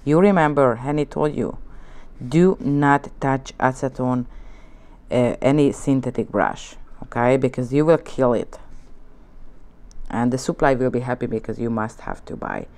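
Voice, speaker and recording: A woman with a Hungarian accent, a native Hungarian speaker, giving a relaxed nail art tutorial at her studio desk, talking in gentle, coaxing instructions and often checking in with "okay?". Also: rumbling low, measured pace. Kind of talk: relaxed tutorial narration while working. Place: indoor nail studio desk, hands on camera from above.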